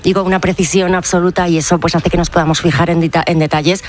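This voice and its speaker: A woman's voice: fairly low pitch, fairly even intonation, fast talker, slight background noise. A woman commenting on a radio panel in a chatty style, often stumbling and restarting. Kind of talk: conversational commentary.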